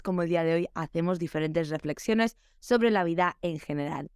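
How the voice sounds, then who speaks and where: Smooth-voiced woman hosting a teaching podcast. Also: mid-range voice, a little mellow, no echo, studio quality. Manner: chatty, reflective, advice-giving. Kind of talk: solo podcast monologue.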